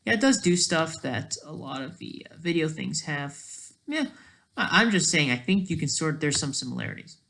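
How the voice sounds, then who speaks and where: A person thinking aloud in casual, rambling, digressive commentary as a video creator, with a creaky voice. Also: medium pitch, sing-song, moderate pace, fairly bright tone.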